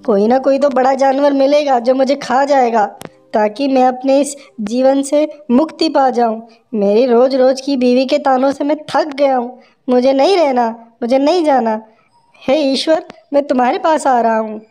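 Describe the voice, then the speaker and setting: A woman's voice: sits high, moderate pace, dark tone, pitched above the performer's own voice, lightly acted. A woman voicing a poor, honest village priest, a man who speaks earnestly and despairingly. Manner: earnest, pleading.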